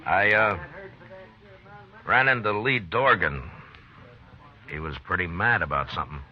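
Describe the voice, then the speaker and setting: A man playing a calm, laconic frontier marshal in an old-time radio western, measured and understated. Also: deep voice. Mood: watchful, firm. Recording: muffled, noisy old radio recording.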